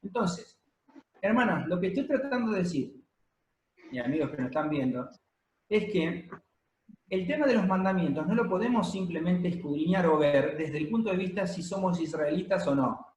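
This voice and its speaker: A man preaching a sermon to a congregation, explaining at a measured pace with many pauses and fillers. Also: sits high, lively intonation, a little mellow, slight background noise. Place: church hall, congregation present and streamed. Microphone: handheld or stand microphone. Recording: echoey room.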